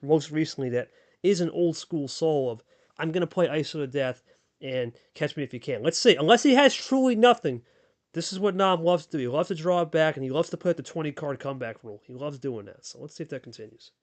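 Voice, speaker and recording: A man's voice: expressive, brisk. A man doing casual play-by-play commentary on a card game, chatty and hyped, talking with his co-host. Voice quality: deep radio voice.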